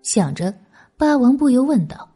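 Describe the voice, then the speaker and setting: A woman reads a novel aloud in a dramatic narrating style. Her voice is a little high and moves expressively, with a husky edge. Faint music plays underneath. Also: very animated, normal speed, mellow. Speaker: audiobook narrator.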